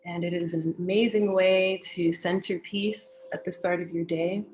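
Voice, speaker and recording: A woman from California with an American accent, talking gently and unhurriedly as she hosts a guided meditation session and speaks in spiritual phrases. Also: on the low side, steady tone, moderate pace, slight background noise, poor audio. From California, United States. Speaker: meditation teacher and session host. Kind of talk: welcoming introduction to a guided meditation, personal talk.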